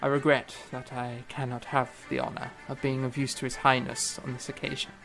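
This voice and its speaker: Gravelly, indignant young man, acted by a man. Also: mid-range voice, little pitch movement, a little fast, a bit bright, soft background music, low-quality recording. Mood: stiff, restrained. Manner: formal, wordy period speech. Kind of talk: audio drama period acting.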